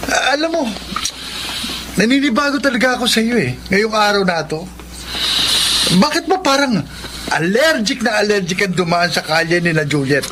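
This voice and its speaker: Very high, whiny Filipino male buddy. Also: expressive, moderate pace, long pauses. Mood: puzzled, nagging. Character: puzzled, nagging male buddy and workmate. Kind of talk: Filipino radio drama acting. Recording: noisy radio drama recording.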